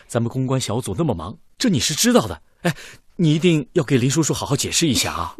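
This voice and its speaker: Earnest young engineer, radio drama, very polite, mid pitch that moves a lot, dry studio. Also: male voice, sing-song, quick pace, husky, dry recording. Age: young adult. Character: earnest, modest, bookish young male missile engineer. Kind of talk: Chinese radio drama acting.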